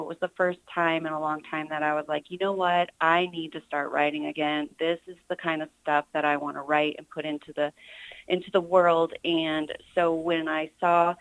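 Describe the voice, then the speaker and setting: A woman's voice: low voice, no echo, poor audio. A middle-aged American woman giving earnest, enthusiastic answers as an author being interviewed on radio.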